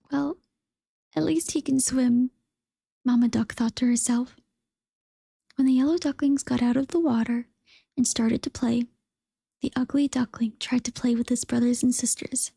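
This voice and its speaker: A woman softly whispering a children's storybook as narrator. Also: a little high, dry recording, studio quality. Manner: soft, breathy whispering.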